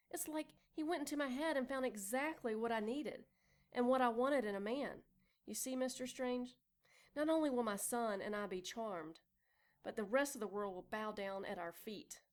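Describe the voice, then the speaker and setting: A woman's voice: fairly high pitch, normal speed, lightly acted. An actress playing a self-absorbed expectant mother in a noir audio drama, coy, defensive and sly. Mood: smug, sly. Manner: coy, mock-proper, defensive.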